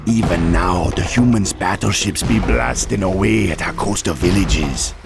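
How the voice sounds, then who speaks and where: An actor playing a wily troll tribe chieftain in a fantasy game, with a gravelly Caribbean accent and a drawling, commanding manner. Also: male voice, deep voice, a little slow. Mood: urgent, grave. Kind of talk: fantasy game character acting. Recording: music under the voice.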